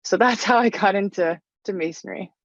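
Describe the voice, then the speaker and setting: A woman's voice: mid-range voice, fairly quick, dry recording, dull audio. A young woman from Canada, talking casually about her trade in an interview, full of "like" fillers. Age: young adult. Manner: chatty, frequent "like" fillers. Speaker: interview guest, stone mason.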